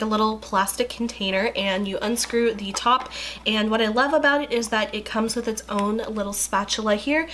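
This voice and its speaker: A woman's voice: medium pitch, fairly bright tone, slight background noise. A young woman with a stuffy, congested voice chatting casually through a skincare review, with lots of likes and ums. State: congested from a cold. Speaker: beauty vlogger.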